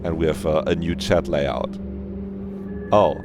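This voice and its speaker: A man's voice: a bass voice, steady tone, a little slow, noisy background. A man hosting a livestream, chatting casually and rambling easily to his viewers. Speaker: livestreamer and host. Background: background game music.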